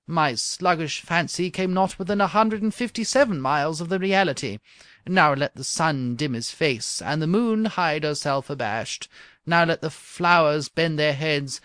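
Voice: medium pitch, fairly bright tone, no room sound, clean studio sound, lightly acted. An audiobook reader voicing a lovestruck young king who talks in flowery, old-fashioned phrases.

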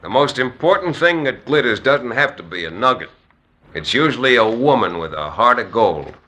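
An actor playing a steady young frontier hero in a 1950s radio western, calm, commanding and wry. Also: male voice, mid-range voice, normal speed, background noise, close to an everyday voice. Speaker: lead actor.

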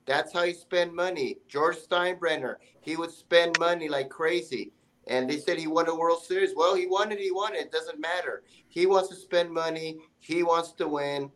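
A man's voice: fairly high pitch, moderate pace, a bit bright, a little hiss or hum, poor audio. A man on a sports talk panel making his case emphatically in short, punchy sentences.

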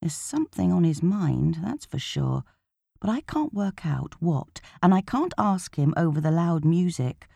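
Mellow, low-voiced woman narrating an audiobook. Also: big pitch swings, a little slow, vocal fry, slightly rough, dry recording, studio quality. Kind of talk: first-person audiobook narration.

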